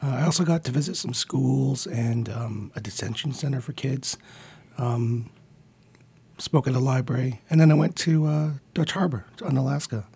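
A man's voice: mid-range voice, normal speed, creaky, a little mellow, husky. A man around forty, born in the Philippines and raised in Montreal, talking as a guest in a radio studio interview, friendly and hesitant with many ums. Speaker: guest, comic book writer.

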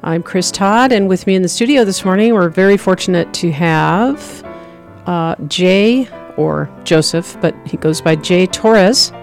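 A man hosting a morning radio interview in a studio, friendly and halting with uhs. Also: sits high, soft background music. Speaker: radio host.

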